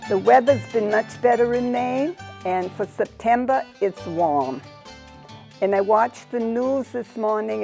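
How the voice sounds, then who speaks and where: A woman hosting a small talk show, chatting casually and introducing her guests warmly. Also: medium pitch, gravelly. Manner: chatty and encouraging. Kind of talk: casual interview chat.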